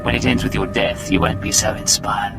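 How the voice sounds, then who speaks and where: A man's voice: lowish voice, fairly quick, creaky, music in the background. An actor playing a cool, unflappable male secret agent in a video game, dry and clipped. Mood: cold, demanding.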